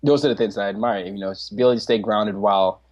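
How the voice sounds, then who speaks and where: A man in his twenties, a podcast guest, talking in an animated way, laughing often and restarting his sentences. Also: on the low side, racing, dull audio. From Nigeria. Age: 20s. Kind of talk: casual interview chat.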